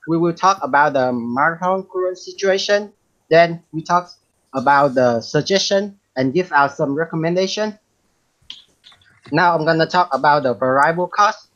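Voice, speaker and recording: A man's voice: fairly high pitch, fairly bright tone. A man from Vietnam giving a class presentation, reading his part steadily. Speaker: student presenter. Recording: dull lo-fi sound.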